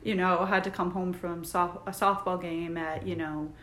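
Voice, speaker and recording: A woman's voice: on the low side, fairly even intonation, moderate pace, fairly bright tone. A young woman co-hosting a casual podcast, talking in a thoughtful, reflective way. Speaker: podcast co-host. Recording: echoey room with faint noise.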